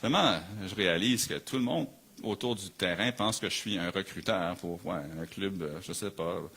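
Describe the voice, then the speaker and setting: A man with a low, forceful voice delivers a sermon in Quebec French. He speaks as a pastor, earnest and emphatic, and leans on repeated phrases to build each point. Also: normal speed, husky. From Canada. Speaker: pastor preaching.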